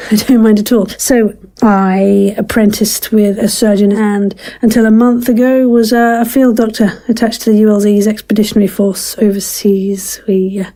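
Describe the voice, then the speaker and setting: A woman's voice: mid-range voice, warm tone, close to an everyday voice. A woman playing a polite, guarded doctor in an audio drama, formal and hesitant.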